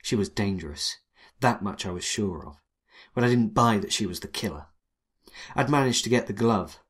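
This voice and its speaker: A man playing a wry, hardboiled 1920s private detective, narrating in a dry, world-weary deadpan. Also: fairly low pitch, moderate pace, breathy edge. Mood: wry, brooding. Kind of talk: first-person noir detective narration and dialogue.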